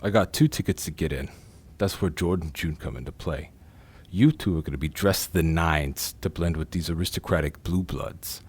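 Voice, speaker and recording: An actor playing a brash, wisecracking crime boss with slangy, bossy street talk in an audio drama. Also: male voice, low-pitched, moderate pace, a little mellow, husky. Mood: bossy, brisk.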